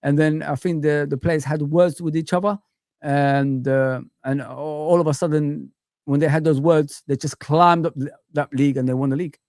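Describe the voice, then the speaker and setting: A man from Africa chatting casually as a football pundit on a fan livestream, reminiscing in an animated way and often adding "you know what I mean". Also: on the high side, moderate pace, no echo, studio quality.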